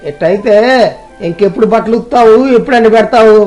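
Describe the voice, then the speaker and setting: A man's voice: high-pitched, fast talker, dark tone, highs cut off, faint music behind, voice pushed up, natural voice. A man doing a chatty village drummer.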